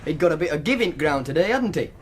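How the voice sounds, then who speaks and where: A man's voice: fairly high pitch, animated, fast, close to an everyday voice. An actor playing an easygoing young male student with a Northern English accent in a naturalistic radio drama. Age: young adult. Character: easygoing young university student, modest betting winner.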